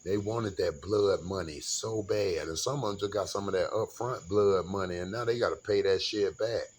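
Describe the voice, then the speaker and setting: A man's voice: low-pitched, normal speed, darkish tone. A man in his forties talking in a rambling, grandiose monologue, often repeating and restarting his words. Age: 40s. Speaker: vlogger.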